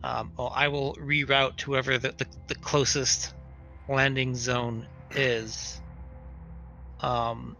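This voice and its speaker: Bright-voiced man playing a tabletop game. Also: medium pitch, level tone, slow, vocal fry, soft background music. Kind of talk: casual game-table talk.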